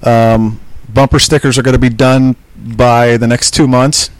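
A man's voice: mid-range voice, little pitch movement, unhurried, no echo, poor audio. A man co-hosting a casual podcast, teasing his friend and joking through mock-announcer intros into a studio mic. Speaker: podcast co-host. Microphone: podcast mic through a mixer board.

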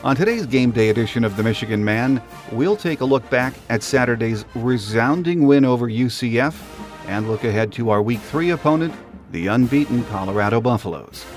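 A man's voice: mid-range voice, animated, fairly quick, soft background music. An American man speaking as a podcast host, delivering a scripted sports monologue.

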